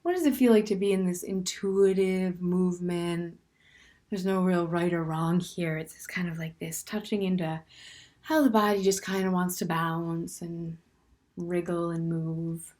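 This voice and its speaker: A woman leading a guided standing meditation in a gentle, unhurried way, with soft, breathy phrase endings and plenty of pauses. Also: fairly low pitch, steady tone. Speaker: meditation teacher.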